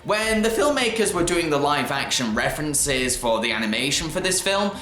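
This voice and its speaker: A man narrating a scripted video film review in an enthusiastic, expressive manner. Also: a little high, moderate pace. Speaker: film reviewer and show host. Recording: roomy, echoey voice track.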